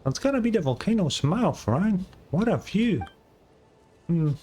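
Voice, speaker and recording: A man voicing a small, chatty, excitable fairy companion, reading out video game dialogue in a chirpy, questioning way. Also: sits high, unhurried, slight background noise. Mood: excited, wondering.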